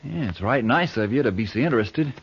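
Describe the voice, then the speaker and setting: An earnest young cowboy in an old radio western. His husky voice moves around a lot in pitch, with folksy phrasing. The recording is dull and aged. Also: animated, brisk. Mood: shaken, friendly. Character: young, earnest, plain-spoken ranch cowboy. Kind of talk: 1940s radio western acting.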